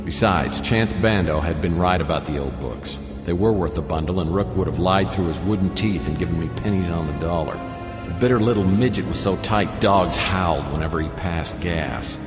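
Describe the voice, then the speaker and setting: An actor playing a wry, world-weary private detective in a noir radio drama, narrating in a deadpan, sardonic way. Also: male voice, sits low, close to an everyday voice. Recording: roomy long reverb under loud music.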